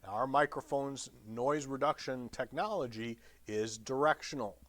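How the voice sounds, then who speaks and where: A man giving an instructional lecture as a trainer, blunt and direct with wry humor and emphatic pauses on key phrases. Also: medium pitch, fairly bright tone, dry recording. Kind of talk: instructional lecture on radio procedure.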